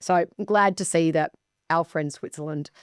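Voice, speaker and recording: A woman's voice: deep voice, fairly even intonation, moderate pace, clean tone, dry recording, studio quality. An Australian woman co-hosting a horse racing show, chatting and commenting with emphatic enthusiasm. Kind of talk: casual racing chat and commentary.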